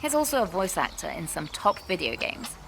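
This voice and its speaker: A woman's voice: on the low side, very animated, fairly quick, gravelly, noisy background. A woman hosting a casual, lightly scripted question-and-answer vlog. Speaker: vlogger and animator hosting a Q&A.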